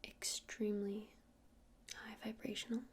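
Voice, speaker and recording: A woman playing a gentle witch shopkeeper in an ASMR roleplay, speaking in a breathy whisper and explaining things in an unhurried, hesitant, soothing way. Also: medium pitch, little pitch movement, a little hiss or hum, natural voice. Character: gentle witch shopkeeper showing crystals to a customer.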